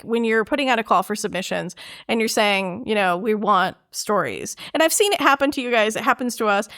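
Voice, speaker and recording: A woman hosting a casual podcast interview, enthusiastic and quick to agree with her guest. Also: on the high side, fairly bright tone.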